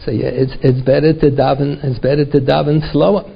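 A man's voice: fairly high pitch, little pitch movement, brisk. An older American man, a rabbi giving a Torah lecture to a congregation, repeating words and asking rhetorical questions, peppered with Yiddish and Hebrew terms. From United States. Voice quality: gravelly, husky. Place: synagogue lecture. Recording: dull lo-fi recording.

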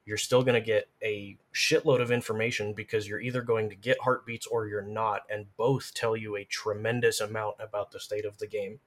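Guy with a kinda low voice, casual gamer chat, breaks stuff down in detail. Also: flat delivery, moderate pace, few pauses. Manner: detailed, analytical explaining. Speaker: podcast guest, gamer. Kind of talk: casual explanatory game chat.

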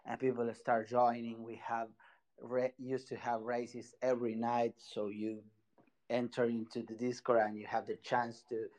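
A man from Argentina speaks English with a clear Argentine Spanish accent, pausing and restarting as he searches for words. He sits in the middle of the male pitch range and talks like a guest on a relaxed online audio chat. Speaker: NFT project founder, guest.

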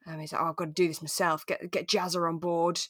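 A woman's voice: lowish voice, little pitch movement, fast, fairly bright tone, slightly rough, no echo, clean studio sound. A woman co-hosting a podcast in casual, playful chat, recapping a drama. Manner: chatty, playful. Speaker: podcast co-host.

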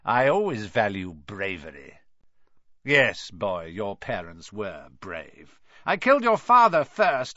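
A man voicing a cold, hissing, snake-faced dark lord in an audiobook, menacing and snarling, in a slightly dull lo-fi recording. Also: medium pitch, dry recording, heavily acted.

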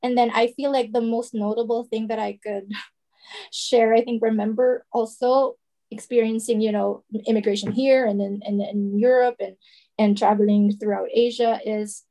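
A woman's voice: a little high, level tone, moderate pace, mellow. A woman in her forties from the Philippines with a Tagalog accent, co-hosting a podcast in casual chat, laughing often and slipping into Tagalog phrases. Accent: Filipino Tagalog. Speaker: podcast co-host.

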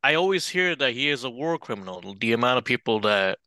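A man's voice: mid-range voice, sing-song, fairly quick, pushed and bright, no room sound. A man co-hosting a political podcast, laid-back, laughing often and swearing casually. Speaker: podcast co-host.

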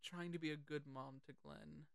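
An excitable, sweary podcast host chatting casually about films. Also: mid-range voice, normal speed, creaky, no echo, studio quality. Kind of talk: casual film chat with quoted lines.

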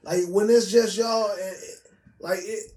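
An American man with a raspy voice co-hosting a podcast in casual, slangy, profane, opinionated chat. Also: high voice, fairly even intonation, takes it slowly, a little mellow. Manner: slangy, profane, repetitive emphasis. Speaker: podcast co-host. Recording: roomy echo, distant from mic.

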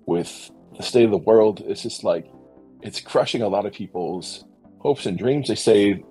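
Male panelist, middle-aged, husky and lowish, reflective, conversational, feeling his way through thoughts. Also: unhurried, low-quality recording. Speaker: podcast panelist. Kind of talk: casual reflective discussion. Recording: faint music underneath. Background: soft background music.

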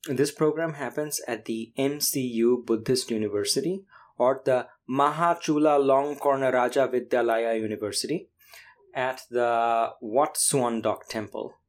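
A man whose first language is Bangla, hosting and narrating with a measured, explanatory delivery. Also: medium pitch, steady tone. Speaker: podcast host and narrator. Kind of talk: scripted narration and casual interview.

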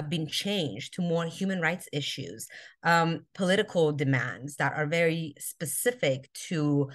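A woman from Iran, a podcast guest, answering interview questions with many pauses and ums. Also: deep voice. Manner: hesitant, many ums and restarts. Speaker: podcast guest, social justice activist.

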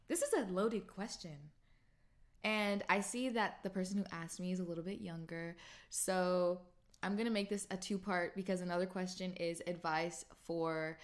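A woman in her late twenties hosts a casual solo question-and-answer podcast. She talks in a chatty, upbeat way with a slightly low voice and gets animated when quoting herself. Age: 20s. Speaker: podcast host.

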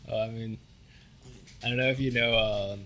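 A young student hosting a casual interview, speaking with frequent fillers like "like" and "um". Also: male voice, medium pitch, fairly even intonation, normal speed, fairly bright tone. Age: young adult. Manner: filler-heavy, uses "like" often. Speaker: podcast host, student.

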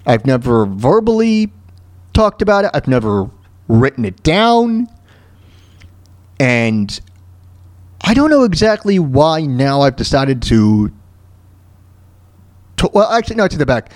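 A man in his thirties hosting a talk show alone, telling stories and ranting casually in a halting, repetitive way with frequent throat clearing. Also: medium pitch, very animated, a little mellow, no echo. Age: 30s. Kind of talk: casual monologue, ranting and personal storytelling.

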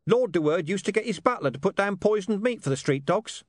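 A man's voice: high-pitched, expressive, brisk, no echo, studio quality, voice pushed up. A man voicing a talking street dog from an audiobook, streetwise, sardonic and mercenary, with a slangy, dismissive manner. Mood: mercenary, insistent.

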